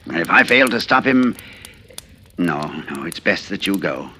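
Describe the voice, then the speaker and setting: An actor playing a betrayed husband, a bitter and quietly calculating man who speaks in a brooding, deliberate way in an old-time radio suspense drama. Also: low voice, big pitch swings, a little hiss or hum, heavily acted. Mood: tense, falsely tender. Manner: brooding, deliberate confessional delivery with dramatic pauses. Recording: vintage radio broadcast recording with music.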